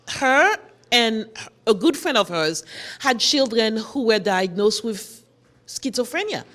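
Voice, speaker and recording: A middle-aged woman from Haiti, a nonprofit director, explaining her work earnestly in a morning show interview. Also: medium pitch. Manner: earnest, explanatory, with frequent restarts. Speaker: guest, nonprofit executive director. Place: TV studio.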